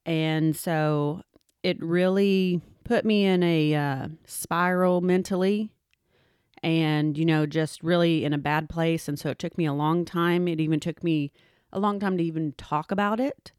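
Woman, somewhat low voice, earnest casual podcast talk, dry close recording. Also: steady tone, measured pace, darkish tone, clean tone, no room sound. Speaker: podcast co-host. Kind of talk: casual chat.

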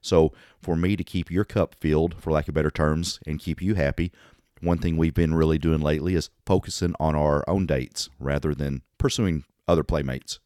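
A man co-hosting a podcast in casual chat, his voice hoarse and raspy today. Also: extremely low pitch, steady tone, moderate pace, darkish tone, dry recording, clean studio sound. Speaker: podcast co-host.

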